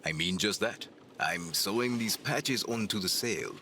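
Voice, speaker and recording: An actor playing a gruff, amused male sailor who teases in an unhurried way. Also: on the low side, sharp tone, a little hiss or hum. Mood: teasing, amused. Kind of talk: audio drama acting.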